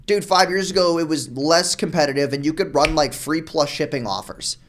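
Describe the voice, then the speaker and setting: A young man from New York, a guest on a podcast, talking fast and casually, throwing in "dude" and "like" often. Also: on the high side, a bit bright. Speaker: guest, marketer.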